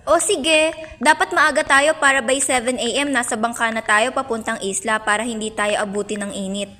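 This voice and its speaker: A woman's voice: a little high, fairly even intonation, normal speed. A young woman playing a confident, carefree, somewhat bossy friend in an amateur Filipino radio drama, breezy and assertive. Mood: breezy, assured. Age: young adult. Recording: long reverb under loud music.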